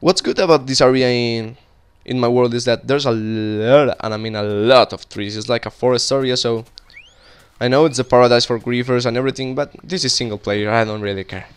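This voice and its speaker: A young man with a Colombian Spanish accent talks loosely over his gameplay, drifting between stories and what he is building. His voice is smooth and clear, sitting at an ordinary pitch. He restarts sentences often, in an easygoing, conversational way. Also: fairly even intonation, fairly quick. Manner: rambling and chatty, talking while playing, frequent restarts. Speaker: gaming video creator. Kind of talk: casual gameplay commentary.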